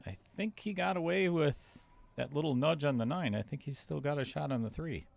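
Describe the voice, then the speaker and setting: Gravelly man commentating a pool match. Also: fairly high pitch, brisk. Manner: casual, chatty, reads viewer comments aloud. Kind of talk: live stream commentary.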